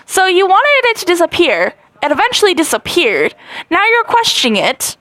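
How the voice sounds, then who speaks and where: A woman reading a story game aloud, in an excitable, dramatic way with squeaky rises. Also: normal speed, no room sound. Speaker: gaming channel host.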